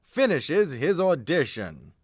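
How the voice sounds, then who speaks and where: A man's voice: fairly high pitch, very animated, slow, darkish tone, dry recording, studio quality. A man reading scripted narration for a radio play.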